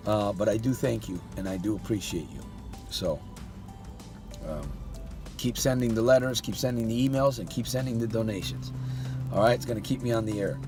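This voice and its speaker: A man giving a motivational monologue as a life coach. He keeps firing rhetorical questions at the listener and talks to them in a folksy way, calling them "my friend". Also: mid-range voice.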